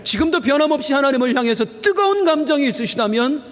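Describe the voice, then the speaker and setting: A man with a high voice preaches a Sunday sermon from the pulpit of a church sanctuary. He draws phrases out slowly for weight, then lifts his voice on the points he most wants to land. A long reverb trails every line through the hall. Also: animated, a bit bright. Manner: earnest preaching, slowing down for emphasis and rising on key phrases. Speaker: senior pastor.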